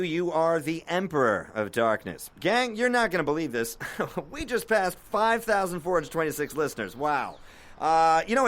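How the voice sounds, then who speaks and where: A man's voice: on the high side, a bit bright. An actor playing a wry, skeptical male call-in show host in an audio drama, with a showy on-air manner. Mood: excited, showy.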